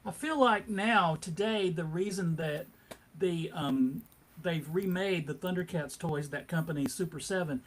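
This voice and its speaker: Male host, notably high pitch, slow halting pace, conversational. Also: a bit bright. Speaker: panelist and host. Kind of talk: casual chat, addressing listeners.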